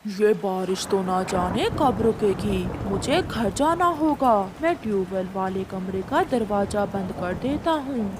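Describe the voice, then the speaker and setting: A woman's voice: medium pitch, lively intonation, a little slow, few pauses, background noise, pitched below the performer's own voice, natural voice. A woman voicing an impatient male farmer in a cartoon, talking to himself.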